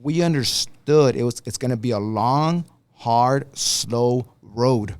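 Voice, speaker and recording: A middle-aged man speaks earnestly and easily, peppering his talk with "bro." His voice sits in an average pitch range for a man, and he talks in a relaxed, conversational way. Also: slow, clean tone. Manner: earnest, laid-back, says bro a lot. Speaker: father and manager of a guest. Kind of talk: casual podcast chat.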